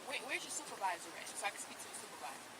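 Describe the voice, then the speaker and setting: A woman's voice: medium pitch, sing-song, rapid-fire. A woman talking through a partly open car window, insistent and evasive, repeating her points. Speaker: driver stopped by police. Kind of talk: argumentative exchange. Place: inside a car, roadside. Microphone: officer's body camera outside the car. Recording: muffled bodycam audio with traffic noise.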